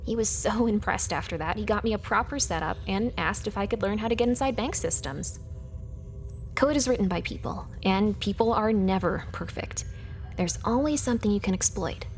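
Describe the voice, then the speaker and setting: A woman's voice: mid-range voice, moderate pace, faint music behind, close to an everyday voice. An actress playing a clever, proud young female hacker, telling a reflective story as a video game monologue. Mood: proud, wry. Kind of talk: scripted game character monologue.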